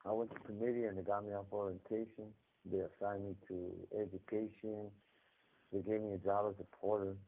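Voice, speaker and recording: Californian man, low voice, rambling street-slang storyteller on a phone-line recording. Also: level tone, a little fast, gravelly. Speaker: incarcerated caller. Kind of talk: casual storytelling over a prison phone call.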